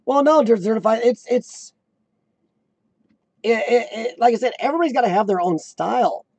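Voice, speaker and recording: A man in his forties chats casually with viewers in a high, squeaky voice that is rough and gravelly from years of coughing. His tone is dark and mellow despite the pitch, he keeps clearing his throat, and the sound is close and dry. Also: no room sound. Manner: clears throat often, halting restarts. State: voice worn from lung illness and coughing. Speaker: host of a live chat show. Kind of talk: casual live-stream chat reading viewer comments.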